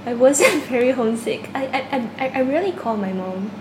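A woman's voice: fairly high pitch, expressive, a little fast. A young woman from China, a university student, answering interview questions casually, with many restarts and small laughs. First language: Cantonese. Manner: hesitant, repeats words, laughs often. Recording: echoey room with background noise.

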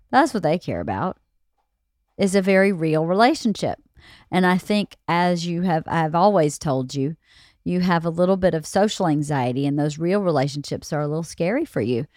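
Podcast co-host: woman, late forties, lower register, candid and reflective, close dry studio sound. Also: moderate pace, darkish tone, no echo. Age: late 40s. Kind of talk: casual intimate conversation.

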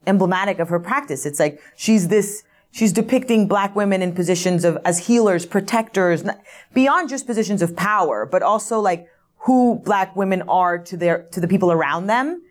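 A woman speaking as an auction house specialist in an interview, describing artworks with enthusiasm and plenty of "you know" and "like". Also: lowish voice. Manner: enthusiastic, filler-heavy, lots of "you know" and "like".

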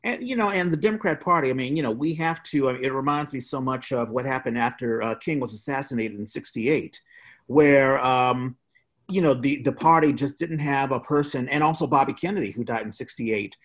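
A man co-hosting a casual discussion of politics, giving his opinions in a halting way with repeated phrases. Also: mid-range voice, poor audio. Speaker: podcast co-host.

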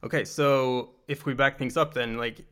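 A man's voice: medium pitch, fairly even intonation, normal speed, pushed and bright, clean tone. A man interviewing a guest, asking casual questions in short prompts. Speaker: interviewer, host.

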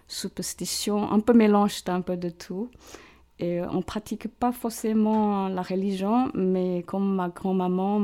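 A middle-aged woman tells her story slowly and unhurriedly. Her voice is mellow and stays level in pitch, and she pauses often to find her words. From Hong Kong, China. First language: Chinese. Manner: hesitant, searching for words with many fillers. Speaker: parish council president. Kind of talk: radio interview, personal testimony.

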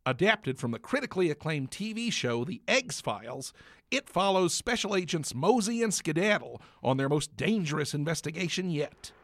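An actor playing a stuffy, self-important film critic with a pompous presenter delivery in a parody radio play. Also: male voice, on the high side, sing-song, normal speed, a bit bright, no echo. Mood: pompous, prim.

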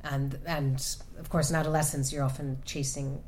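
A woman author answering audience questions about her novel, speaking thoughtfully with many pauses and restarts. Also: low voice, level tone, a little mellow, a little hiss or hum. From United States. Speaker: author at a reading. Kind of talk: book talk and audience Q&A. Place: event room with an audience.

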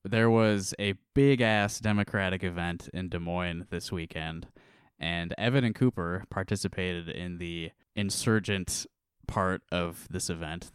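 Deep-voiced deadpan podcast host man. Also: clean tone, dry recording, clean studio sound. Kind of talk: casual comedy podcast chat.

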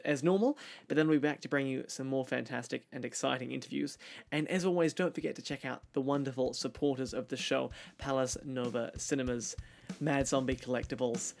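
A man's voice: medium pitch, creaky. A man hosting an interview podcast, speaking politely and courteously in a conversational way. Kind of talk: conversational interviewing and show presenting.

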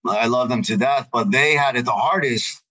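A man, telling his life story as a podcast guest in a relaxed, conversational way. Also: medium pitch, moderate pace, low-quality recording. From Queens, New York. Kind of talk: podcast interview.

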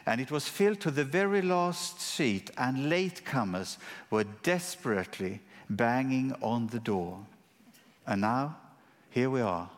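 A man's voice: medium pitch, expressive, a little slow. Man giving a grand hall introduction. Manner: grand, rhetorical. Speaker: event presenter. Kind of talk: formal introduction speech. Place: large concert hall. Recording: long hall reverb.

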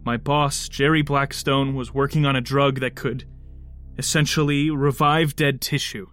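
A man's voice: medium pitch, fairly even intonation, a little slow, fairly bright tone, faint music behind, natural voice. An actor playing a bitter, sarcastic, foul-mouthed henchman of a ruthless boss in an audio drama. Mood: grim, explaining. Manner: sardonic, profane.

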